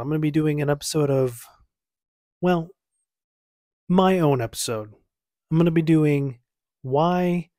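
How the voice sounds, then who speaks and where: A man's voice: a little high, mellow, dry recording, studio quality. A man in his thirties casually reacting to his own old video from a small home office, rambling and correcting himself as he goes. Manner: rambling, self-correcting, easygoing. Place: small home office at night, lamp-lit.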